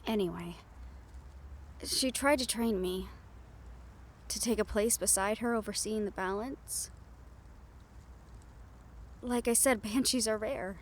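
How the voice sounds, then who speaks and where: Woman playing a gentle, confiding siren-banshee hybrid. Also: mid-range voice, slow, long pauses, slight background noise. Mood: solemn, reflective. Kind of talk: intimate scripted roleplay audio monologue.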